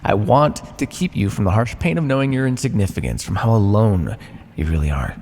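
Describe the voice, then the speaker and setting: Man playing a smug, echoing, creaky-voiced vampire captor. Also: on the low side, expressive, darkish tone, a little hiss or hum. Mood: defensive, insistent. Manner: smug, teasing, dramatic with sighs and drawn-out words. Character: condescending, conflicted male vampire captor and scientist. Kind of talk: ASMR roleplay, theatrical monologue to the listener.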